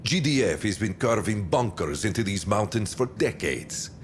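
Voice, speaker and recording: A calculating, self-assured commander voiced by a man delivers a menacing game briefing. The low voice swings widely and theatrically between weighty pauses, over a faint noisy rumble. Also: lively intonation, close to an everyday voice. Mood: cool, conspiratorial. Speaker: military commander briefing a general. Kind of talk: scripted video game cutscene briefing.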